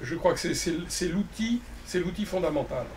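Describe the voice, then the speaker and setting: A man who works as a literary translator, speaking at a bookshop panel in a measured, reflective way with frequent restarts. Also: high voice, expressive. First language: not Romanian. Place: bookshop event. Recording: distant mic with faint ambient noise.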